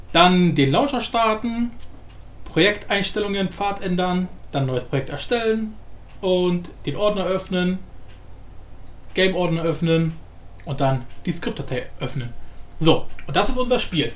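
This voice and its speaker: A man hosting a casual, unscripted software tutorial, thinking aloud informally and repeating himself. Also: high voice, lively intonation, measured pace, long pauses. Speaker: tutorial host. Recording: roomy echo with faint background noise.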